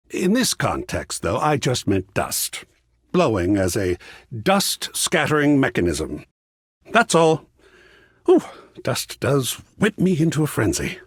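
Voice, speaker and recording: A man's voice: on the low side, breathy edge, no echo, studio quality, exaggerated voice. A man playing a flamboyant, cultured art curator in a video game, effusive and fussy, with grand theatrical phrasing. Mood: titillated, coy. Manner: grandiloquent, dramatic drawn-out phrasing, swooping exclamations. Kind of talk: video game character voice lines.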